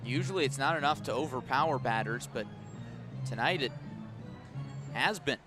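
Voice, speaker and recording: A man calling baseball play-by-play live on the radio, measured in delivery and punchy on the action. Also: medium pitch, lively intonation, over music. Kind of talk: live radio sports commentary. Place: outdoor ballpark.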